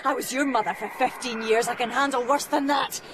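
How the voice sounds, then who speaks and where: A woman's voice: fairly high pitch, steady tone, brisk, background noise, natural voice. An actress in a radio drama playing a desperate, stubborn mother and doctor, urgent, emotional and defiant. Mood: determined.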